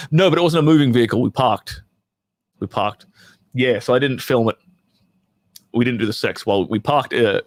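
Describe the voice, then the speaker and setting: A man's voice: mid-range voice, no echo. A man who performs in adult films hosting a casual livestream, talking to his chat in a rambling, profane, joking way.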